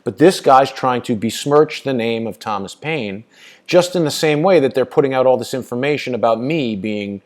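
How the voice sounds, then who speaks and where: A man's voice: medium pitch, animated, moderate pace, darkish tone. A man hosting a call, talking insistently and repeating his points. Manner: insistent, repetitive. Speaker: host. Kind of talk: casual phone conversation.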